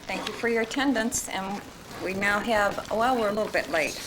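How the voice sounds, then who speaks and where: A woman chairing a county meeting, reading out items in a brisk, procedural way. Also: mid-range voice, background noise.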